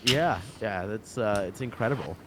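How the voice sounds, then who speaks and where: A man's voice: lowish voice, moderate pace, slight background noise. An American man commentating a competitive game in an easygoing way, agreeing and laughing often. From United States. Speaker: esports commentator. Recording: game artillery and gunfire behind. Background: artillery and gunfire from the game.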